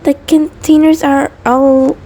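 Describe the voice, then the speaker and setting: High-voiced anxious centipede girlfriend, acted by a woman. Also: little pitch movement, takes it slowly, warm tone, a little hiss or hum. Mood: shy, affectionate, nervous. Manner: nervous, hesitant, stammering, rambling. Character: anxious, devoted female mutant centipede partner, sweet and neurotic. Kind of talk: intimate scripted audio roleplay, ASMR-like.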